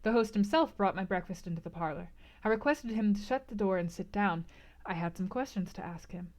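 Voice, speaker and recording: A woman's voice: medium pitch, animated, a little fast, a little mellow. A woman reading literary prose aloud as a book club host, with theatrical, emphatic phrasing. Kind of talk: dramatic audiobook-style literary narration.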